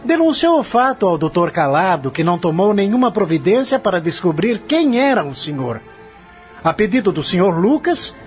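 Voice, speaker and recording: A man playing a confident, relentless lawyer in an audio drama, arguing in a formal, articulate, declamatory way. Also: high voice. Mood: assured, explaining. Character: confident prosecuting lawyer, relentless, methodical, formal courtroom orator. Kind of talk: audio drama acting, courtroom scene. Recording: dull lo-fi audio with music underneath.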